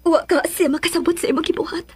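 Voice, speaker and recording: High-voiced, tearful worried Cebuano mother. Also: fairly even intonation, quick pace, a little mellow. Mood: anxious. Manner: tearful, pleading. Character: worried, distressed mother searching for her runaway daughter. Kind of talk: Philippine radio drama acting. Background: dramatic music.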